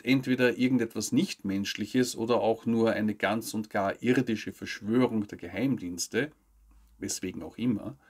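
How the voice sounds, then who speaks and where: A man, reading scripted news commentary to camera in a measured, formal way. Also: on the low side, breathy edge. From Austria. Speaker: news show host. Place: indoor studio with plain backdrop.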